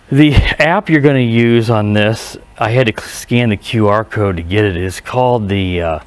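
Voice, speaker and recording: A man giving a casual, unscripted hands-on review outdoors, speaking haltingly and often correcting himself. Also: lowish voice. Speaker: product reviewer. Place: outdoors in a residential driveway. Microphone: head-mounted action camera.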